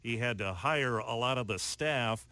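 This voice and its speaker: A man's voice: medium pitch, a little slow, pushed and bright. A middle-aged American man hosting a radio news special, moving between broadcast interview questions and scripted narration. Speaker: radio news anchor and host.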